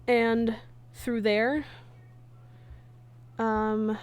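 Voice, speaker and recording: A woman chatting casually on a livestream as she works, halting and half talking to herself in an absent-minded way. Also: fairly high pitch, level tone, smooth. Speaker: livestreamer and comic dub creator. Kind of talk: casual livestream chat while working. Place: indoors at a computer, streaming.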